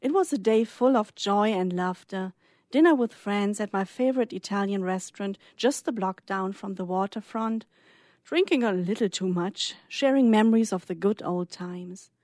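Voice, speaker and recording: German-accented woman, medium pitch. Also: moderate pace, darkish tone, dry recording, clean studio sound. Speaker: writer reading own story. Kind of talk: story reading.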